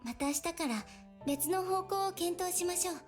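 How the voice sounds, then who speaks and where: A polite, quietly determined young girl from a visual novel, her voice pitched high and her lines quick. She speaks formally and softly, with faint game music underneath. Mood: gently resigned. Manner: formal, soft-spoken.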